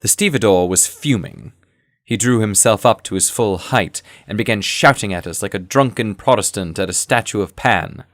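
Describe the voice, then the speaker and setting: A man reading a scripted first-person story in a measured storytelling manner. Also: fairly low pitch, a bit bright, no echo, studio quality. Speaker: audio fiction narrator.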